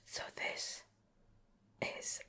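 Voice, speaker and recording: Softly whispering woman, slow ASMR delivery. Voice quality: breathy unvoiced whisper. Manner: gentle, unhurried, murmured browsing commentary. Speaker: ASMR video creator. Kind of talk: soft whispered ASMR show and tell. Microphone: close microphone, ear-to-ear ASMR recording.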